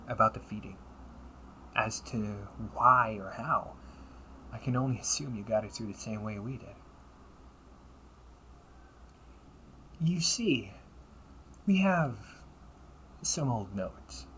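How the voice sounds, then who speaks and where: A man playing a composed, shrewd demon hunter who questions in a measured, deliberate, probing way in an audio roleplay. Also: on the low side, slight background noise, low-quality recording. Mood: analytical, deliberate. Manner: measured, deliberate, probing, with pauses and hushed phrase endings. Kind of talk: audio roleplay, scripted character monologue addressed to listener.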